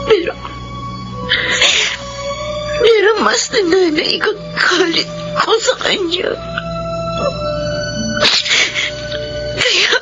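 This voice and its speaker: Lady doing a little boy crying his heart out, super high voice, swings all over, radio drama with music behind. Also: highs cut off, heavily acted. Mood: tearful. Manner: sobbing, shouting through tears. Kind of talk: Filipino radio drama acting.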